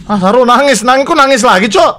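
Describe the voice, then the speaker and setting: A young man with an Indonesian accent, a game streamer giving excitable, slangy live commentary and rapidly repeating his phrases. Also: very high-pitched. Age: young adult. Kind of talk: live esports watch-along commentary, casual slang. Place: indoor streaming setup. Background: music in the background.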